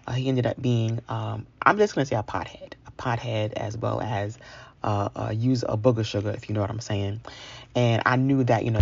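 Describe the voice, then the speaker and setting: A woman from New York tells a personal story in a gravelly, husky voice. She is candid and confiding, talking straight to her listeners as in conversation. The recording is dull and lo-fi. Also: very deep voice. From New York, United States. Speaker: featured storyteller.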